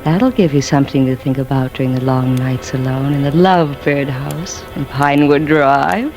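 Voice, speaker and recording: An actress playing an embittered, intense wife who feigns madness, confessing haltingly in 1940s radio suspense style. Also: female voice, very deep voice, lively intonation, darkish tone, poor audio. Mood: coldly menacing. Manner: dramatic, halting confessional delivery. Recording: vintage radio recording with music.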